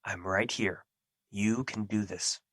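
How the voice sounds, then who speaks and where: A man playing Fear as an earnest, protective personified emotion in an audio drama, with formal, measured phrasing. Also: on the low side, steady tone, a bit bright, no room sound, clean studio sound. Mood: reassuring.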